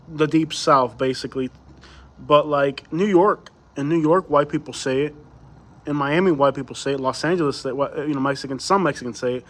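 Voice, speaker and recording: Cuban-born guy livestreaming, native spanish speaker, slightly high voice, just chatting and throwing out rhetorical questions. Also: fairly bright tone. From Cuba. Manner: conversational, asks rhetorical questions. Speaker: livestream host. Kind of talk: casual chat, answering live viewer comments.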